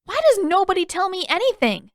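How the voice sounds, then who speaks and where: A woman's voice: extremely high pitch, very animated, brisk, no echo, clean studio sound, voice pushed up. A woman voicing a caring but bossy teenage girl in an audiobook, emphatic and full of questions. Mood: indignant, insistent.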